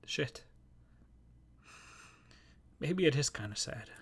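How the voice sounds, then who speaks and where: A man plays a nervous young nonbinary film fan in a stage monologue. The voice sits fairly high for a male one. The speech rambles and stumbles over itself, self-deprecating throughout. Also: lively intonation, slight background noise. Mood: wistful, weary. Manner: halting, rambling, self-interrupting. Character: anxious, self-deprecating nonbinary young moviegoer talking to a poster. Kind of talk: dramatic monologue reading.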